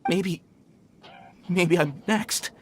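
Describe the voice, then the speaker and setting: Shaken mine worker, fairly high voice, nervous, pleading, faltering, video game character, slight background noise. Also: male voice, drawn-out words. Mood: fearful. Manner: anxious, faltering.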